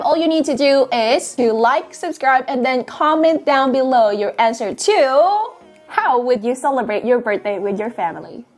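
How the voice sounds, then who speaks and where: A woman's voice: fairly high pitch, a little mellow. A woman in her late twenties chatting casually in a bubbly, giggly way, talking into a clip-on mic in a small apartment. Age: 20s. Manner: bubbly, giggly, sings and repeats phrases. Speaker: vlogger. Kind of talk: casual vlog chat. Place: small apartment room, indoors. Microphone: clip-on lapel mic.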